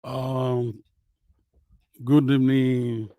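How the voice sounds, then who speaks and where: Nigerian male streamer, slow-paced, hesitant, chatting about football, dry room. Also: medium pitch, little pitch movement, mellow, no echo. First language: Yoruba. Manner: slow, hesitant, many fillers. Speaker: livestream host. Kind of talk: casual football talk livestream. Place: home, in Western Australia.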